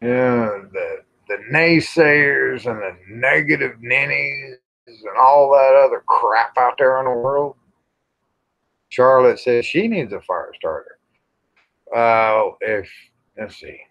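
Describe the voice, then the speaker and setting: Middle-aged man, very slow folksy rambling, mid pitch, casual livestream chat, muffled lo-fi sound. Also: fairly bright tone. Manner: folksy, rambling, salty-tongued. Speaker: livestream host. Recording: dull lo-fi livestream audio.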